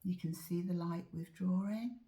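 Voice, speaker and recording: A woman guiding a meditation in a soft, often whispered voice, slow and drawn-out, a little chesty as she is losing her voice. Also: lowish voice. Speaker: meditation teacher. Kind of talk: guided meditation.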